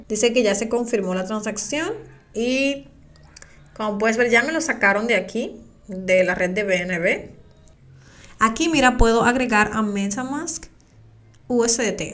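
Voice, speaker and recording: A woman's voice: a little high, normal speed, a bit bright. Woman talking viewers through clicks. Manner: patient step-by-step walkthrough, addresses viewers directly. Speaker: crypto tutorial creator. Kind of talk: screen-recorded software tutorial. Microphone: voice-over on screen recording.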